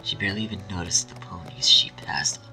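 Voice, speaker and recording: A man narrating a scripted dark fan story in a dramatic storytelling style. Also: deep voice, brisk, in a whisper. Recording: faint music behind.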